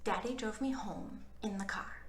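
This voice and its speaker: A woman's voice: medium pitch, moderate pace, whispered. A woman hosting a children's storybook read-aloud, playful and informal as she chats to young listeners and narrates. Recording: roomy, echoey room sound.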